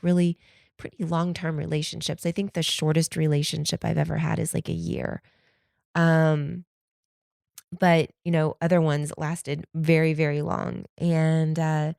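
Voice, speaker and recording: A woman's voice: sits low, gravelly, a little mellow, no room sound, studio quality. A congested woman, starting to lose her voice, rambling candidly and casually as a solo podcast host, slangy and conversational. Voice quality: stuffy, congested nose. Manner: candid, conversational, slangy, acts out imagined quotes.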